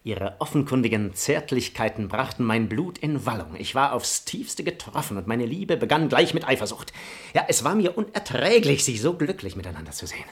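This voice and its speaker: A man's voice: mid-range voice, very animated, brisk. An actor playing an arrogant, seductive nobleman in a classical radio play, eloquent, theatrical and self-assured. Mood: self-satisfied, scheming.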